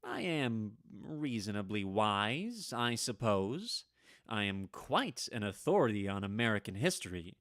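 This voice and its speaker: Radio play lead, male actor: ageless wry storyteller, easily flustered, bright mid-pitched voice with lively swings. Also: no room sound, clean studio sound. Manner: expressive, dramatic, wry storytelling. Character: centuries-old Salem man, wry storyteller, easily flustered. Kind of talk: vintage radio drama narration and acting.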